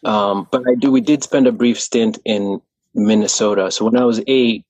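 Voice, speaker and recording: A man's voice: fairly low pitch, fairly even intonation, normal speed, darkish tone, breathy edge, no echo, poor audio. A young man from Kenya, a running coach, talking casually on a video call with an easygoing, rambling manner.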